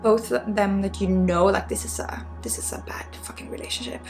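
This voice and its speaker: A woman who is a native Swedish speaker, giving an ASMR energy healing in an intimate, halting, casual way, slipping often between soft voice and breathy whisper. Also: medium pitch, fairly even intonation. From Sweden. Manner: casual, blunt, swearing, halting with asides. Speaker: spiritual healer and ASMR host. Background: music.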